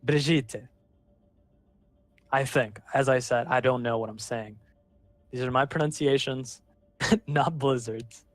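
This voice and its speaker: A man casting a live online match, calling the action and filling pauses with rambling, self-deprecating asides. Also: mid-range voice, no echo. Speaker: esports caster. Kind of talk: live game commentary.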